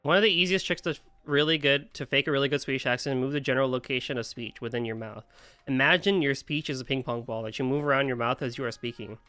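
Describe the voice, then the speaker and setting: Bright-voiced American streamer laughing along. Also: male voice, mid-range voice, fast talker, clean tone, dry recording, soft background music. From United States. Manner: rambling, laughs easily, swears. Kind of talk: casual live-stream reaction.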